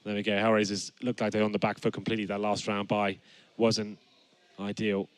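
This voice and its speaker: A young man casting an esports match from a studio on a headset mic, his voice still raspy from a flu. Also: fairly low pitch, brisk, dry recording. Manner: rises to excited calls on big plays. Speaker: esports caster. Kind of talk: play-by-play commentary. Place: studio set with gaming stations.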